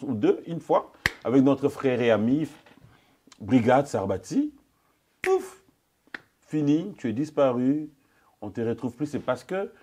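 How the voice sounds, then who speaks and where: A man conducting a probing interview as a journalist, insistent and challenging, often cutting in and repeating his questions with a husky voice. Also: mid-range voice, mellow, a little hiss or hum.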